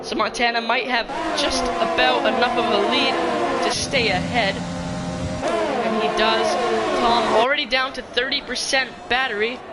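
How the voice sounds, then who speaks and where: A woman commentating a sim race live, excitable and slightly hoarse from losing her voice. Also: unhurried. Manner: excitable, rising pitch in close battles. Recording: loud crowd and engine noise behind. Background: race car engines and crowd ambience.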